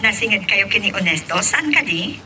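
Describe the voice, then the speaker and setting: A woman acting a haughty, prying boss's wife in an Ilocano radio drama, sharp and sarcastic in her questioning. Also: medium pitch, expressive, moderate pace, a little hiss or hum. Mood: prying, suspicious.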